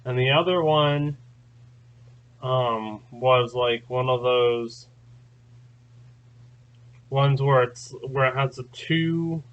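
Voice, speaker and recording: A man's voice: medium pitch, slow pace, long pauses, fairly bright tone, highs cut off. A man hosting his own channel, telling a long story in a rambling, casual way, full of fillers and restarts. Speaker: channel host. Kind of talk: casual spoken recounting.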